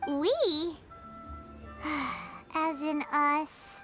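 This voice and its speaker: An actress voicing a small, blunt female companion creature in a video game who refers to herself in the third person. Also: high voice. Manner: speaks of herself in the third person, quick to protest. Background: game music.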